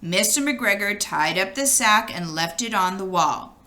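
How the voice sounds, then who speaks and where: A woman reads a children's storybook aloud with lots of expression. Her pitch moves freely up and down in an animated way, and her tone is bright. She addresses the story to young listeners. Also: unhurried. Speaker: storybook reader.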